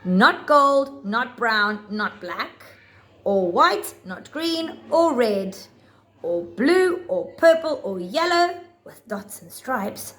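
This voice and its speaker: A woman reads a children's picture book aloud in a fairly high voice. Her pitch moves around expressively, and she takes her time, placing phrases deliberately and theatrically for young listeners. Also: big pitch swings, fairly bright tone, faint music behind. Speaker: children's storybook reader. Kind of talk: expressive read-aloud of rhyming picture books.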